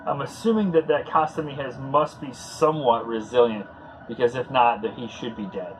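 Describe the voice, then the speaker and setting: A man hosting a casual read-along commentary, talking in a halting, conversational way as he searches for words. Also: medium pitch, slight background noise, poor audio. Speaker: host.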